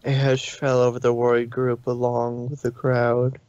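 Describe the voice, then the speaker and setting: A man narrating a fanfic read-aloud on a stream, halting, with slips and self-corrections. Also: mid-range voice, monotone, a little mellow, no echo, highs cut off. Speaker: narrator reader.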